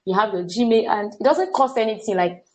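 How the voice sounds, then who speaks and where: Nigerian woman, staff trainer, mid-range voice, earnest, halting with repeated words. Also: mid-range voice, a little mellow. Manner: earnest, repeats words and says "what's it called" often. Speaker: workshop facilitator.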